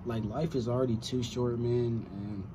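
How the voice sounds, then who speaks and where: A man's voice: mid-range voice, steady tone, moderate pace, noisy background. A man, chatting casually to camera in a rambling, slangy way with frequent swearing. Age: 20s. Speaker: reaction video host. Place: small room with wooden cabinets indoors. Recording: dull lo-fi sound, music behind.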